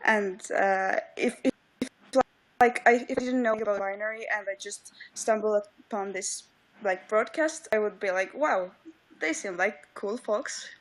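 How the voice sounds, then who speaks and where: A woman with an Estonian accent joins by video call and speaks halting English with many fillers. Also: medium pitch, low-quality recording. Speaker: livestream guest. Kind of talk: casual video call chat.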